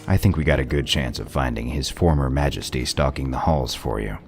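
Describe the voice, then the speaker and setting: A man playing a gruff, weary lawman in an audio drama, dry and blunt with a gravelly voice. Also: rumbling low, fairly quick, darkish tone, faint music behind. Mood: dry, stern.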